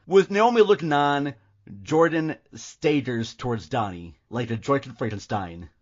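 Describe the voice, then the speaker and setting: A man's voice: medium pitch, measured pace, muffled recording. A man hosting and narrating an audio drama from a script in a dramatic style. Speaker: podcast host and narrator.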